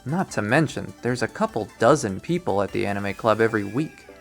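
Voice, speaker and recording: A man's voice: fairly low pitch, lively intonation, brisk, gravelly, faint music behind. A young man reading a visual novel aloud in first person, with a dry, wry delivery.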